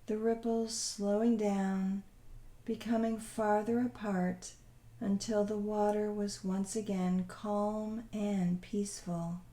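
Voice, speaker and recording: Slow, mellow woman guiding a meditation. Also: mid-range voice, little pitch movement, roomy sound. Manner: soothing, unhurried, gently instructing. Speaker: guided meditation leader. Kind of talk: guided visualization meditation narration.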